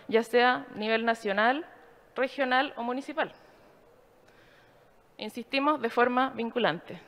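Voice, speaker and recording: A woman giving a formal, explanatory slide presentation in a measured way. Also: fairly high pitch, a bit bright.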